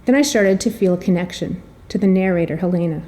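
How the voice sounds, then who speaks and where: A woman's voice: fairly low pitch, fast talker, dark tone. A middle-aged woman presenting a prepared introduction, telling stories in an articulate, wry and slightly theatrical way. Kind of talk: prepared introductory speech with storytelling.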